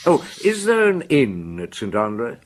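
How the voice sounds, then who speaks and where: An actor playing a calm, shrewd, courteous French chief inspector in a radio drama, speaking in a measured, politely probing way. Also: male voice, mid-range voice.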